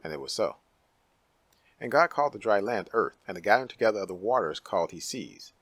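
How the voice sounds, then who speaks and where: A low-voiced man with a husky edge narrates scripture dramatically. His delivery is solemn and declamatory, recorded close and dry. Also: lively intonation, brisk, fairly bright tone, no echo.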